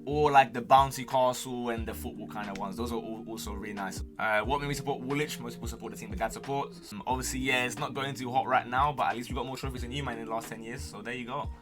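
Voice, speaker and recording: A man's voice: medium pitch, fairly even intonation, fast, few pauses. A young man with a London accent chatting casually as a streamer, full of slang and cocky, playful banter. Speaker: streamer and gamer answering viewer questions. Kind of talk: casual chat to camera. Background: music.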